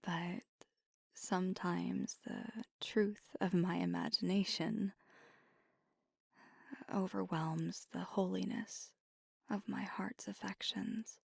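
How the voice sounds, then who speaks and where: A woman performs a tender, nervous vampire girlfriend in an ASMR roleplay. Her voice is very breathy and mostly whispered, with heavy creak at the edges. She confides slowly and haltingly, very close to the microphone. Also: on the low side, animated, dry recording, heavily acted. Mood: anxious, confiding. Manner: hesitant, halting, earnest confiding. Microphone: close, dry microphone.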